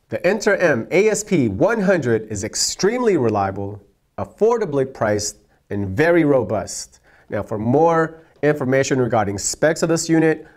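A man in his thirties hosting an upbeat product presentation to camera as a show host. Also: medium pitch.

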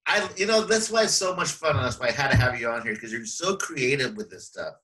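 A man's voice: medium pitch, expressive, quick pace, sharp tone, rough recording. A middle-aged man hosting an interview over a video call, enthusiastic and emphatic, repeating words for stress. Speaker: podcast host, real estate coach. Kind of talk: casual interview chat. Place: small indoor room. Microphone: webcam video call.

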